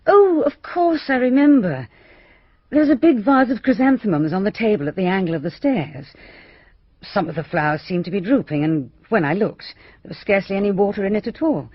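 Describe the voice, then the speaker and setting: An actress playing a genteel, middle-aged British village hostess in a radio drama, polite and guarded. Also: female voice, mid-range voice, breathy edge. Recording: slightly muffled, roomy radio drama recording.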